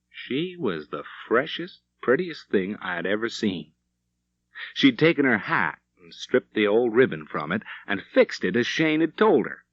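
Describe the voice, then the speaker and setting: A man's voice: fairly low pitch, no echo. A man narrating a Western novel as an audiobook, telling the story in a dramatic, vivid way. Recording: slightly dull, dry studio recording.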